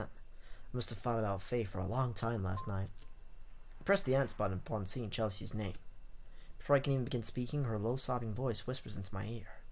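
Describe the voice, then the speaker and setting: A man doing a let's play, reading visual novel narration aloud in a theatrical, dramatic way with joking asides. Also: fairly low pitch. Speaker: gaming YouTuber. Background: game music.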